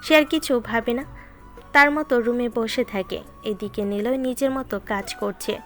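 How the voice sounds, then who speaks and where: Female narrator, fairly high pitch, scripted romantic storytelling, faint music behind. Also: measured pace, fairly bright tone.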